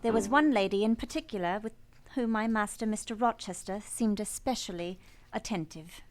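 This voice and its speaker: A woman's voice: medium pitch, normal speed, soft background music, close to an everyday voice. A woman playing an earnest young governess in a radio play, both narrating and speaking with polite deference. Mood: reflective.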